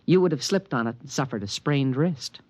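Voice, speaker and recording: A man's voice: mid-range voice, lively intonation, brisk, a little mellow, dry recording, natural voice. A man playing an earnest, fussy alien guardian in a 1950s radio drama, speaking in precise, formal, solemn pronouncements. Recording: vintage radio recording, faint music.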